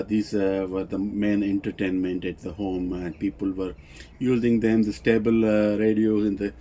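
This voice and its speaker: A man giving an unscripted technical demonstration as a presenter, speaking in a halting way and circling back over his phrases. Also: on the low side, mellow. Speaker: vintage radio reviewer and presenter.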